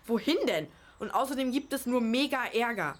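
A woman's voice: fairly high pitch, lively intonation, normal speed. A young female performer playing a bossy, resourceful eldest sister in an amateur radio play. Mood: determined.

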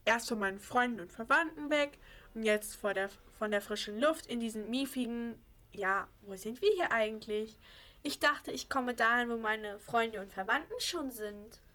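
A girlish female player as high-voiced fussy talking rabbit. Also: normal speed, pushed and bright. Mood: grumpy, indignant. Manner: complaining, questioning. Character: talking pet rabbit, fussy, indignant and anxious. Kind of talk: children's radio play acting.

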